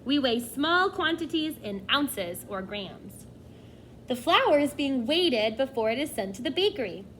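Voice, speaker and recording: Animated high-voiced woman reading to children. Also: unhurried, slight background noise. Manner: expressive, questioning, addresses young listeners directly. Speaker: teacher reading aloud to children. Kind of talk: children's nonfiction read-aloud with asides.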